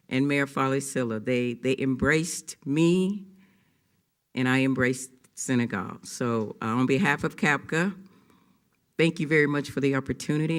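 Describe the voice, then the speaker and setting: Man giving measured, heartfelt remarks. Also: mid-range voice. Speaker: speaker at a sister-city ceremony. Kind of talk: formal public remarks.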